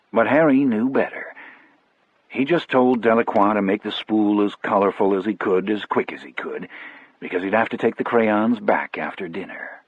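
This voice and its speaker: A man narrating a first-person audiobook story in a measured, reflective manner. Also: fairly low pitch, slightly rough, no room sound, narrow, tinny audio.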